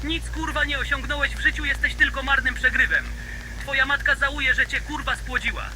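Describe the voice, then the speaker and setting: A man's voice: very high voice, steady tone, brisk, sharp tone, slight background noise, pitched above the performer's own voice, exaggerated voice, rough recording. A man playing an enraged, abusive demon stepfather in an analog horror story, berating with vulgar contempt. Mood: furious contempt.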